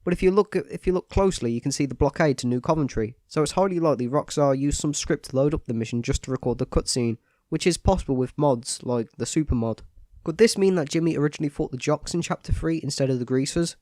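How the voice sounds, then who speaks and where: A man's voice: on the high side, quick pace, a little mellow, no room sound. Guy with a cold, stuffy nose, explaining game stuff matter-of-fact, dry close sound. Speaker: video game commentator. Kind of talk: scripted voice-over analysis.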